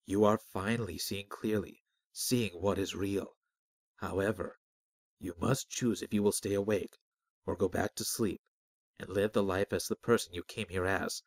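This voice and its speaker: Man voicing a soft, low, cryptic spirit guide. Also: steady tone, dry recording. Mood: solemn, guiding. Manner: soft, measured, enigmatic. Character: calm, cryptic disembodied spirit voice, guiding and riddling. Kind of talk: fantasy audiobook character.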